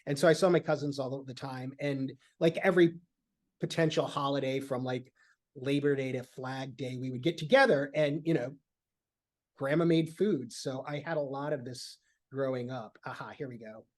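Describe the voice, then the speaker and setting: A man from Michigan, a podcast guest, chatting casually and rambling with lots of fillers and restarts. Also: mid-range voice. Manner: rambling, halting with many fillers. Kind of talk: casual chat.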